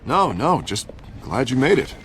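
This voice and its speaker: Animated, harried district attorney. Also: male voice, mid-range voice, measured pace. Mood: friendly. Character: harried, loyal district attorney, a frank man. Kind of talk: cinematic game dialogue acting. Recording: clear ambient noise behind.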